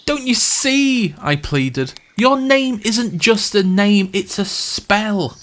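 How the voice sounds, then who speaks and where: Male, slightly high voice, acting as a pompous wizard podcast host; wry, reluctant, self-satisfied, scripted fantasy comedy narration. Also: expressive, slow, fairly bright tone, full character voice. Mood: exasperated pleading.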